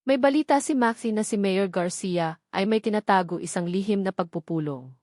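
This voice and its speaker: A woman's voice: medium pitch, normal speed, darkish tone, no room sound, clean studio sound, lightly acted. Woman reading as protective policewoman, firm and authoritative. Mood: serious. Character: stern, protective policewoman and mother.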